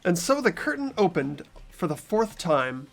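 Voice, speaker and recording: A man's voice: high-pitched, sing-song, normal speed, creaky, fairly bright tone. A man reading the narration and inner thoughts of a game's protagonist in a fan playthrough. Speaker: narrator and player.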